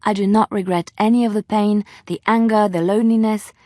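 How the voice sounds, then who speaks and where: A woman's voice: medium pitch, fairly even intonation, a little fast, a little mellow, dry recording, clean studio sound, lightly acted. An actress voicing a devout, playful young woman adventurer in a video game, sincere and chatty in manner. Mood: tender, warm. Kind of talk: video game character dialogue.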